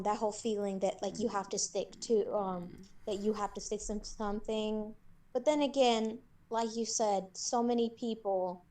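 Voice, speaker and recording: A woman with a medium-pitched voice chats as a podcast co-host. Her delivery is informal and slangy, and she comes across as supportive. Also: darkish tone. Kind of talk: casual chat.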